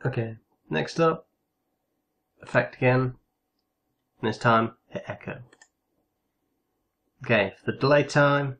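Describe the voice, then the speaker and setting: A man with a medium-pitched voice narrates a screen-recorded tutorial. He talks casually and instructs one step at a time, as the host of the lesson. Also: fairly bright tone.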